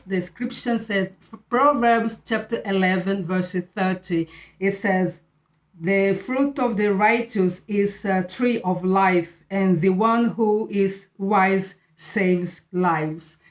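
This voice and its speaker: A middle-aged woman from Brazil with a Brazilian Portuguese accent, hosting her channel and sharing a faith talk in halting English, often pausing with fillers as she searches for words. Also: medium pitch. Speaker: channel host. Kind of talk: casual faith talk to camera. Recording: echoey room.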